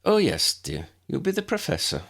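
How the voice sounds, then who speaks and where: A man's voice: on the high side, huge pitch swings, normal speed, gravelly, fairly bright tone, voice pushed up, heavily acted. A man voicing a kindly, motherly village shopkeeper woman in a storybook, soothing and full of endearments.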